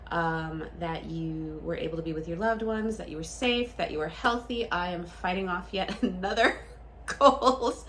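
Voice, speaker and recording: A woman's voice: medium pitch, fairly bright tone, a little hiss or hum. A woman in her late thirties hosting a book channel, talking casually to camera, a bit nasal and stuffed up from a cold, with lots of ums and restarts. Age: 30s. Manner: chatty, frequent ums, rambling restarts.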